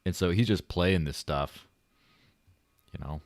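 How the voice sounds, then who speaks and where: Deep-voiced joking podcast host. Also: male voice, measured pace, no room sound, studio quality. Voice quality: light buttery voice. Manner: joking, rambling, self-deprecating. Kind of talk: casual livestream chat.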